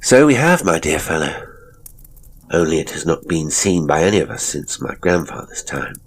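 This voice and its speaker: A man voicing a hearty, eager young Victorian gentleman in an audiobook, jovial and exclamatory in old-fashioned upper-class speech. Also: extremely low pitch, big pitch swings, normal speed, husky, heavily acted. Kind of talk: audiobook character acting.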